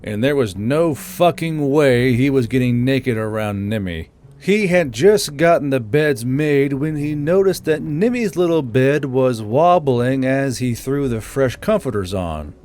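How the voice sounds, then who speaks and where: A man's voice: mid-range voice, animated, unhurried, a little mellow. A man narrating a fantasy audiobook as the channel's host, in an informal storytelling style. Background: music.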